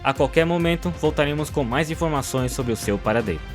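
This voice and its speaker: A man acts a formal news reporter in an animated short. His voice is a little high, and soft music runs behind the scripted report. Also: brisk, lightly acted. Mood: serious, formal. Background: faint music.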